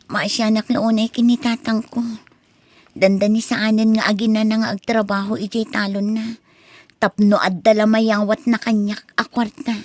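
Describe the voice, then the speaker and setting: A woman's voice: medium pitch, flat delivery, normal speed, darkish tone, no room sound, lightly acted. A young woman with an Ilocano accent playing an earnest, devoted daughter in a radio drama, with a pleading, emotional manner. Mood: earnest, worried.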